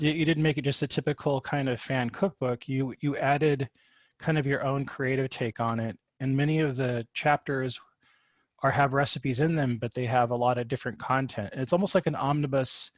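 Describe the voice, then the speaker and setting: A man's voice: medium pitch, no echo. A man hosting a podcast interview, asking questions in a rambling way with restarts. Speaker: podcast host.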